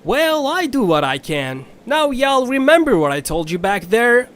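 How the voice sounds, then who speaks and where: A man's voice: extremely high pitch, sing-song, unhurried, a bit bright, full character voice. A man voicing a folksy, bossy ferry captain with a Southern US accent in a cartoonish audio drama. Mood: folksy, cheerful. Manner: folksy, drawling turns of phrase.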